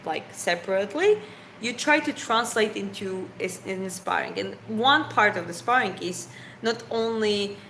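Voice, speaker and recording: A woman's voice: medium pitch, expressive, moderate pace, fairly bright tone, roomy sound, low-quality recording. A woman in a casual live chat, talking readily and explaining her point in loose phrasing. A faint hiss sits under her voice. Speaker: live stream co-host.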